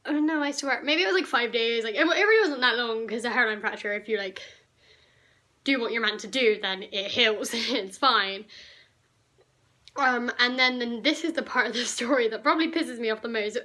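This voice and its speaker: A teenage girl from the UK, slightly croaky from a cough, telling a rambling, indignant storytime vlog. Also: fairly high pitch, moderate pace, pushed and bright. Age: teens. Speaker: vlogger.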